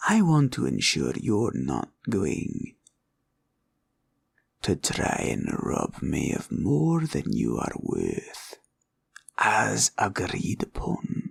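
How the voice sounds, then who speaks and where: A menacing green dragon for a roleplay audio. He speaks very slowly in a lowish, gravelly voice, grumbling and gloating over his hoard like a greedy old haggler. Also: huge pitch swings, exaggerated voice. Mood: grudging, haggling. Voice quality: gravelly, creaky. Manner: slow, drawling, grumbling and haggling. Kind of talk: roleplay audio villain acting.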